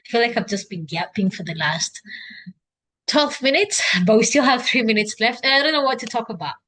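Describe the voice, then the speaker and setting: A woman's voice: on the high side, animated, fairly quick, fairly bright tone. Kenyan-accented woman, chatty video diarist. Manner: chatty, thinking aloud, self-encouraging. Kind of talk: casual unscripted self-reflective video diary. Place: indoors at home, by a couch. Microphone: laptop.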